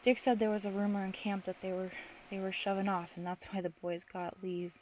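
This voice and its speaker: A woman's voice: mid-range voice, little pitch movement, fairly quick, darkish tone, natural voice. A woman plays a young war widow working in a factory. She is brusque and slangy, holding grief behind impatience. It is old-time radio drama acting, with faint noise on the recording. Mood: grieving. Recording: noisy amateur radio play recording.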